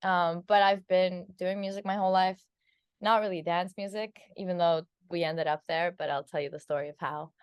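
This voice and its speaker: A woman's voice: on the low side, fairly even intonation, moderate pace, clean tone, muffled recording. A woman in her twenties from Turkey, chatting casually in an interview, her talk full of "like" and "um". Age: 20s. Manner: chatty, filled with "like" and "um". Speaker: singer being interviewed.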